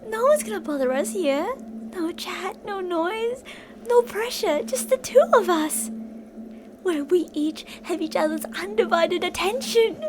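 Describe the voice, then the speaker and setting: A woman's voice: extremely high pitch, lively intonation, a little slow, background noise. A woman playing an obsessive, possessive female fan turned kidnapper in an intimate, dramatic ASMR roleplay monologue. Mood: soothing, possessive. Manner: intimate, swinging between insistent pleading and soft whispery menace.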